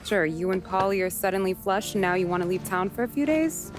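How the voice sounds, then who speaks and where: Music plays behind a woman acting as an American gangster's wife in a crime game. She is wry, suspicious and sharp-tongued, speaking at a medium pitch in sarcastic slang. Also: animated, a little fast, lightly acted. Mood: suspicious, probing. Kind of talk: cinematic video game acting.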